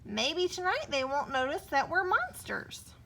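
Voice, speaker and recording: A woman voicing a timid, excitable young male monster who stammers when nervous in a storybook reading. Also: high voice, a little slow, voice pushed up, exaggerated voice. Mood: excited.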